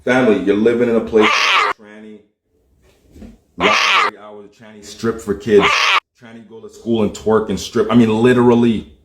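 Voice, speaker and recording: A man's voice: fairly low pitch, little pitch movement, normal speed, heavy background noise. Man, livestream rant, heated and profane, preachy, rhetorical questions, roomy echo. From Jamaica. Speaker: livestream host. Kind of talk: ranting monologue.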